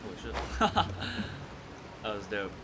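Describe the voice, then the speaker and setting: A man's voice: lowish voice, very animated, slow, background noise. A man giving casual live commentary while streaming a video game. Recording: loud game music behind voice. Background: video game music and sounds.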